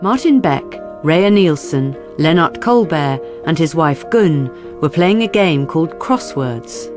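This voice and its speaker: A storyteller narrates a radio drama from a script. The voice sits at a middling pitch, with light music beneath. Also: animated, takes it slowly.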